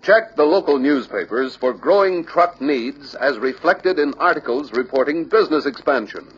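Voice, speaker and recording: A man reading scripted instructional narration for a sales training film, deliberate and emphatic. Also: fairly high pitch, animated, breathy edge. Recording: dull lo-fi old training film soundtrack.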